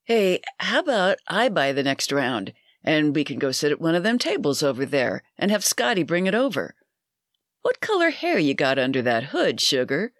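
A narrator voicing a loud, crude, pushy middle-aged barfly in a dry recording. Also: mid-range voice, sing-song, normal speed, dry recording. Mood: leering.

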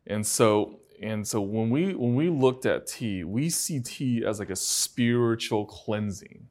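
A man from China, a physician, interviewing a guest in a halting, curious way with many restarts. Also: lowish voice, lively intonation, darkish tone. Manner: halting, repeats words, curious. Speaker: physician host. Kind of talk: casual interview.

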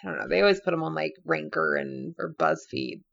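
A woman's voice: low voice, lively intonation, brisk, gravelly, a bit bright, lo-fi sound. A woman co-hosting a podcast in casual chat, reading prompts aloud, laughing often and trailing off with fillers. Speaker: podcast co-host.

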